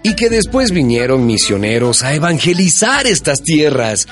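A man playing Saint Francis of Assisi as a gentle, curious friar in a radio drama. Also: on the high side, moderate pace, faint music behind. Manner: lively, questioning, gentle.

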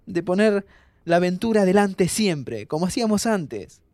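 An actor playing a dying young man from Buenos Aires, playful and hopeful, talking informally and haltingly to his friend in an audio drama. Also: high voice, very animated, darkish tone, no room sound. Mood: pleading. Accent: Argentine, Buenos Aires. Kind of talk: naturalistic audio fiction acting.

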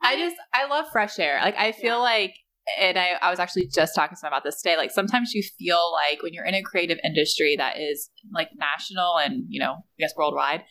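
Effusive woman with a slightly low voice. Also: fast, few pauses, bright, forceful tone. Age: 30s. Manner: effusive, emphatic. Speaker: podcast host. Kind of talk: casual conversation.

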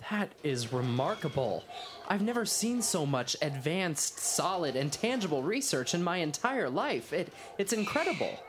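A man's voice: on the high side, sing-song, normal speed, background noise. A man playing an eager, nervous young scientist in an audio drama, polite, hesitant and awestruck. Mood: awed, curious.

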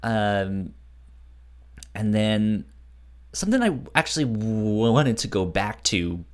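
A man's voice: on the low side, sing-song, takes it slowly. A man co-hosting a podcast, discussing a book casually, with a frequent stutter that repeats sounds and syllables. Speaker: podcast co-host.

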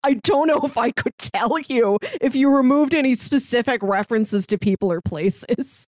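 A woman co-hosting a podcast, casually discussing a TV series in an animated, opinionated way. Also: on the high side, normal speed, no echo, studio quality. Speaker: podcast co-host.

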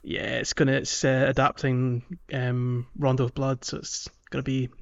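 A man chatting casually on a podcast, talking in a hesitant, halting way. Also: medium pitch, flat delivery, creaky, dull audio. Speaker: podcast co-host.

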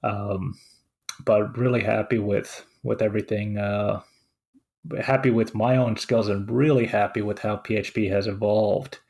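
A man's voice: lowish voice, fairly even intonation, unhurried, creaky, a little mellow. A man in his forties from Finland, co-hosting a casual podcast chat and speaking hesitantly with many fillers and restarts. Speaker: co-host.

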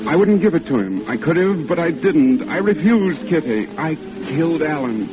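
An actor playing a guilt-stricken man with halting, intense, anguished delivery in a 1940s radio melodrama. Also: a little high, sing-song, over music. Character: guilt-stricken man confessing blame for a friend's death. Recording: tinny, hissy old radio broadcast.

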